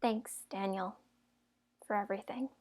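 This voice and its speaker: A woman playing an outspoken young fairytale heroine in an amateur audio drama, with an expressive, emphatic way of talking. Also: mid-range voice, unhurried, close to an everyday voice. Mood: softer, sincere.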